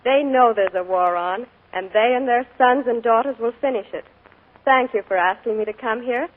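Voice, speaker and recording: A woman's voice: a little high, normal speed. Young woman guest, cheerful, modest, earnest, tinny 1940s radio sound. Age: young adult. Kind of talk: scripted radio interview.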